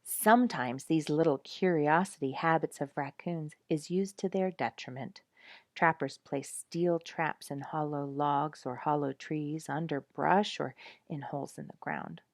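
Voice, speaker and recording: A woman reading an animal storybook aloud in an expressive, animated storytelling manner, as if for listeners following the pictures. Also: sits low, a little slow, dry recording, studio quality. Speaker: storybook reader.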